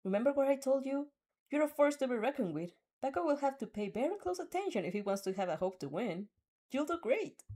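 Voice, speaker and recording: A woman voicing a sweet, cheeky and spirited teenage heroine, earnest and expressive, in a fan fiction audio dub. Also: sits high, fast, pitched above the performer's own voice. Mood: bright, encouraging.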